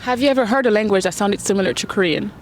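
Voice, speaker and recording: French-accented female street interviewer, lively and playful, faint traffic behind. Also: mid-range voice, very fast. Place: city street outdoors.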